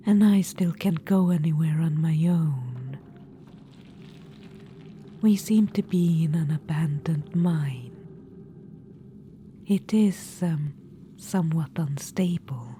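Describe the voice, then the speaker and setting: Undead witch-queen, haughty and cruel yet doting, very dark hushed whisper, faint ambience behind ; a woman performs her. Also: deep voice, takes it slowly, long pauses, a little hiss or hum. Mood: doting menace. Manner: whispered, purring, calls listener darling; haughty and gleefully macabre. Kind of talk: whispered ASMR audio roleplay.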